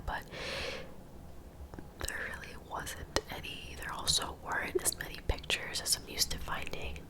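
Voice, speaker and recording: A woman telling true crime stories in a soft, breathy ASMR whisper, in a gentle, informal, conversational way. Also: rough recording.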